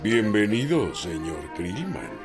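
An actor playing an elderly Mexican president in a historical radio drama, grave, pompous and self-assured, with a husky voice and a Mexican accent. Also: male voice, on the low side, huge pitch swings, over loud music, full character voice. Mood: courteous, formal. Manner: deliberate, stately, pompous.

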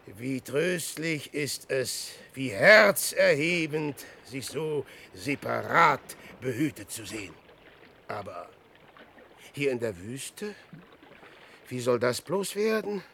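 An actor playing a boastful, self-pitying dreamer and adventurer in a radio play, declaiming between hushed brooding and grand exclamation. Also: male voice, medium pitch, animated, slow, creaky, slight background noise, heavily acted. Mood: smug, self-pitying. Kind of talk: theatrical German radio play monologue.

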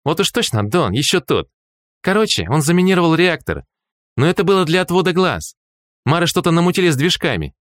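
A man doing a brash, excited adventurer. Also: fairly high pitch, brisk, dry recording, studio quality, pitched above the performer's own voice. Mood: lively. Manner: raised, animated, excited character voice.

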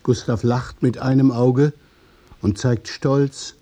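A man narrating a literary radio play in a measured, observational way. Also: mid-range voice, fairly even intonation, mellow. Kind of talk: scripted literary narration in present tense.

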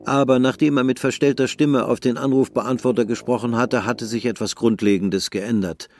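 A man reading the narration of a radio play in a calm, measured way. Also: medium pitch, slight background noise. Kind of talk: scripted narration.

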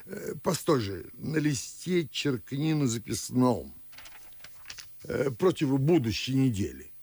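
An actor playing a fussy, grumbling older Moscow father and official in a verse radio play, with drawn-out declamatory delivery. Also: medium pitch, big pitch swings, darkish tone, slightly rough, full character voice. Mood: pompous musing. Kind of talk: theatrical audio drama in verse.

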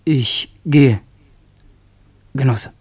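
Husky, tormented young revolutionary man. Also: mid-range voice, drawn-out words, darkish tone, exaggerated voice. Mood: exhausted, despairing. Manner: agitated, urgent, breaking into near whispers. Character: tormented young male revolutionary Andrejew, secretary to a governor. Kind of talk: German radio play acting.

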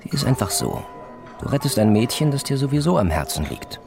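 An actor playing a coaxing, matter-of-fact police officer in a German radio drama. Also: male voice, medium pitch, sing-song, fairly quick, vocal fry, over music. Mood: matter-of-fact persuasion. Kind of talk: German radio play acting.

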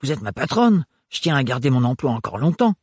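Audiobook figure: male reader as a gruff burly servant, fast and loud, dry sound. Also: medium pitch, sing-song, dry recording. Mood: blustering. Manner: blustering, gruff, rapid. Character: gruff burly working man, loyal and rough.

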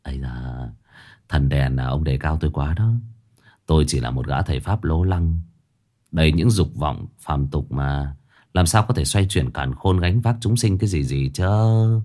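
A man's voice: rumbling low, normal speed, dark tone, pitched below the performer's own voice. A man playing a young male exorcist who talks in a wry, self-mocking, casual way. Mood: self-deprecating.

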